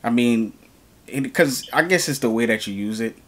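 A man's voice: medium pitch, lively intonation, normal speed, a bit bright. A young man from New York co-hosting a reaction video, talking casually with lots of fillers in a room at home. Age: young adult. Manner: laid-back, rambling, lots of fillers. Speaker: reaction channel co-host. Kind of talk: casual chat.

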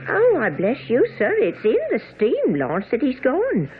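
A woman's voice: a little high, huge pitch swings, measured pace. An actress playing a worried, chatty boatman's wife in a radio drama. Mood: anxious, deferential. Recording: tinny band-limited radio broadcast, faint hiss.

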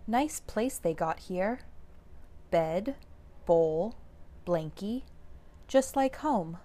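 Lady reading as a smug shelter cat, slow dry little storybook lines. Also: medium pitch, lively intonation, mellow. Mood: wry. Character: aloof proud male shelter cat. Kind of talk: storybook read-aloud.

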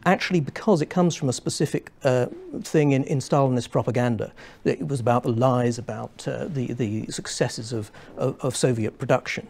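A young man on a studio panel, explaining at length in a measured way with many restarts. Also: mid-range voice, gravelly, darkish tone, a little hiss or hum. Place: small studio set with armchairs.

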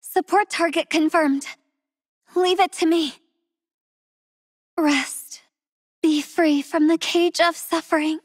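Soft, tender game heroine, young and devoted, high-pitched, slow and breathy, dry studio sound. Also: female voice, steady tone, dry recording. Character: gentle devoted young woman healer, soft-spoken and tender. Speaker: voice actress for a game character. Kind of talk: game character voice lines.

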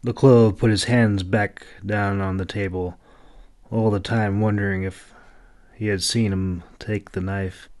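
A man's voice: fairly low pitch, steady tone, a little slow, a little mellow, no room sound, studio quality. A man reading a fantasy audiobook as narrator, with dramatic storytelling delivery.

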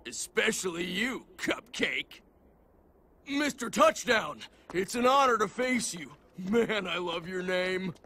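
An actor playing a bombastic, cocky football star villain in a video game, loud and showy. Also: male voice, sits high, lively intonation, slow, full character voice. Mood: boastful. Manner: shouting, showy.

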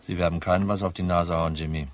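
An actor playing a tough, wry detective, terse and sardonic, in a hardboiled crime radio play. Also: male voice, low voice, steady tone, fast talker, loud music behind the voice, lightly acted. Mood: menacing, mocking.

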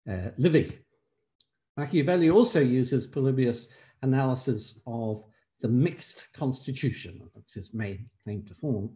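An older man, an emeritus professor, giving a measured academic keynote over a video call from a room at home, pausing often on "uh". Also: medium pitch, mellow. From Ireland. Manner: measured scholarly delivery with frequent "uh" pauses. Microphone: laptop webcam on a video call.

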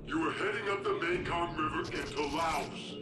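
An actor playing an insistent, commanding interrogator in a video game, stern and emphatic, with an echoing voice. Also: male voice, fairly high pitch, moderate pace. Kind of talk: dramatic video game acting. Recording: echoing, with music underneath.

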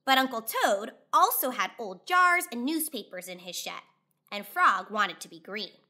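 A woman in her thirties hosting a children's read-aloud, narrating expressively with clear, deliberate delivery. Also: on the high side, sing-song, pushed and bright.

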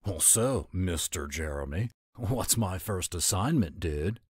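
A man's voice: sits low, lively intonation, measured pace, husky, no room sound, clean studio sound, heavily acted. A man voicing a laid-back, eager werewolf sidekick who drawls in dude slang for an audio roleplay. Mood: cheerful.

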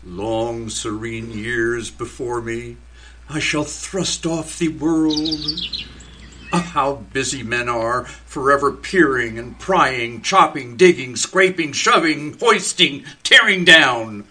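An actor playing a quiet postman who is turning into a tree and muses slowly on human greed, in a community radio play. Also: mid-range voice, husky, exaggerated voice. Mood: musing. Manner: slow, musing, hushed. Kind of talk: community radio theater acting.

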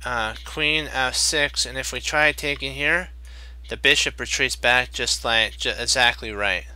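A man in his fifties streaming chess puzzles from home, thinking aloud to viewers, his voice getting croaky. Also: mid-range voice, unhurried, bright, forceful tone, no room sound, rough recording. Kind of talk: live chess puzzle commentary.

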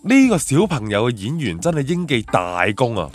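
A man with a Hong Kong Cantonese accent hosting a radio film chat, animated and exclamatory in a studio. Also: medium pitch, normal speed, faint music behind.

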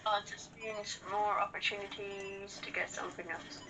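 A woman's voice: medium pitch, little pitch movement, moderate pace, sharp tone, rough recording. A woman co-hosting a gaming channel, chatting casually with teasing, slangy banter during a let's play. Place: indoors, in front of a TV. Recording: game music over the talk. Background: video game music.

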